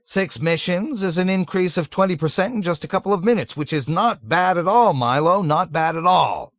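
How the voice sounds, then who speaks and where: A man's voice: high-pitched, lively intonation, moderate pace, no room sound, pitched above the performer's own voice. A man doing a blustering, fawning colonel. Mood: gushing. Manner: loud, gushing, eager to please. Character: jovial, fawning, nervous middle-aged male colonel.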